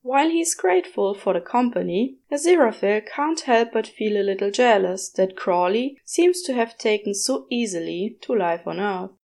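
A woman's voice: mid-range voice, lively intonation, normal speed, gravelly, few pauses, darkish tone. A woman reading a story aloud as scripted audiobook-style narration. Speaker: podfic narrator. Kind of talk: scripted narration of fan fiction.

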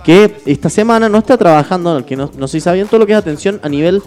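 A man in his twenties with an Argentine accent, hosting a casual podcast chat with quick jokes. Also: sits high. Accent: Argentine Spanish. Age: 20s. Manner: fast, joking, animated storytelling. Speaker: radio podcast host.

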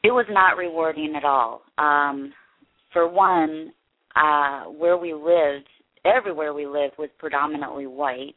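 A woman guest in an interview, speaking earnestly and haltingly with many restarts. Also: low-pitched. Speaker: interview guest, production company head. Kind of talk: candid personal interview. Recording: tinny phone line.

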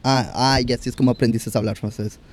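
A young man hosting a podcast, chatty and firing quick follow-up questions in casual interview talk. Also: mid-range voice, fairly even intonation. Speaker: podcast host.

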